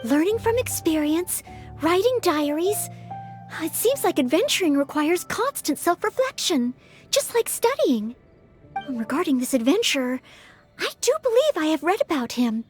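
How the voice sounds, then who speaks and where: An actress playing an earnest, studious, polite young maid-knight in a video game, speaking with careful courtesy. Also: female voice, very high-pitched, big pitch swings, normal speed, soft background music. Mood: thoughtful. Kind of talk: video game character acting.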